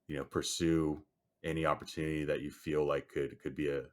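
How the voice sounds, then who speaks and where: A man whose first language is Chinese speaks in a very low, even voice with little rise and fall. He answers at a measured pace and leans on "you know" as he thinks through each point. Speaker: guest, storage company executive. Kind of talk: podcast interview answer.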